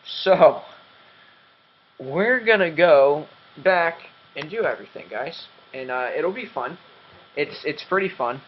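A man's voice: fairly high pitch, expressive, takes it slowly, dull audio. A young man hosting a game commentary, rambling and self-mocking with lots of ums, his voice dry and cracking. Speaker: gaming channel host.

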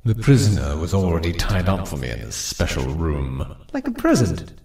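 A man playing a gleefully sadistic pony airship commander in an audio drama, theatrical and full of drawn-out, mocking politeness. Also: low-pitched, huge pitch swings, a little mellow, slightly rough, full character voice. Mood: smug, playful.

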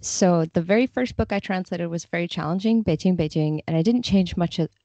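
A woman's voice: on the low side, brisk, warm tone, no echo, dull audio. A woman talking casually and thoughtfully as a guest translator in a podcast interview, explaining her views.

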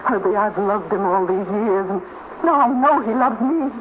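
A woman's voice: mid-range voice, normal speed, natural voice. A woman playing a proud, bitter robot scientist, intense and sardonic, in a 1950s radio drama. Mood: anguished. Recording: tinny, very noisy 1950s radio recording.

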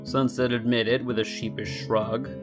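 A man's voice: medium pitch, level tone, unhurried. A man reading scripted story narration as the narrator. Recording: guitar music behind the voice. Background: soft guitar music.

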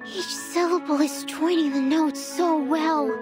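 Very high girlish voice, earnest schoolgirl, sweet, hopeful, slow delivery, game cutscene, music behind. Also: steady tone.

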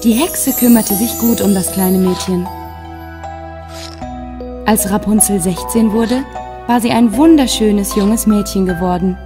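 A woman's voice: medium pitch, a little slow, pauses often, music in the background. A woman storyteller reading a scripted fairy tale for children as narration.

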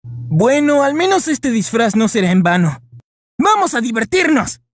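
A woman's voice: on the high side, sing-song, a little slow, no echo, studio quality. A woman with a Latin American Spanish accent voicing a brash, callous young male hedgehog in a cartoon fan dub. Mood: flippant.

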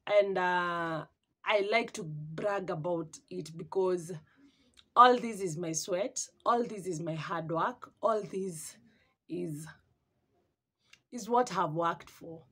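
A woman's voice: fairly low pitch, slow pace, husky. A woman in her twenties with a Kenyan accent chatting casually and animatedly for a home vlog, mixing in Swahili and searching for words. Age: 20s. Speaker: vlogger. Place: small room at home.

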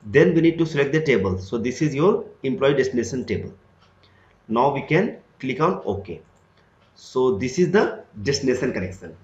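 A man at a mid-range pitch explains a software setup step by step, leaning on "so" to link each click to the next. His voice sounds roomy, as if recorded in a bare room, and the audio is a bit dull and lo-fi. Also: lively intonation, moderate pace. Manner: step-by-step explanatory, repeats "so" often. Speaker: tutorial instructor. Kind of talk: screen-recorded software tutorial.

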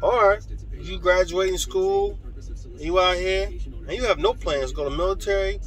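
A man's voice: sits high, moderate pace. A man with a New York accent gives a casual motivational talk as a tradesman, slangy and emphatic, repeating his phrases and checking for agreement. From New York, United States. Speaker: HVAC tradesman and channel host.

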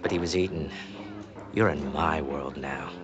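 An actor playing a stern, contemptuous middle-aged school principal in a TV drama, sneering and clipped. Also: male voice, rumbling low, measured pace, dull audio. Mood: sneering menace. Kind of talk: TV drama acting. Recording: noisy TV show playback.

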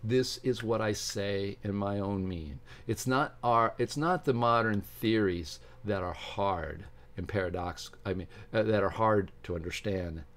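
A man's voice: lowish voice, measured pace, hardly any pauses. An older man reads aloud from a book and adds informal, emphatic asides as a video host. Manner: emphatic, animated delivery with asides. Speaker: video host and science commentator. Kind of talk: informal commentary while reading aloud from a book. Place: indoors, home study with bookshelves.

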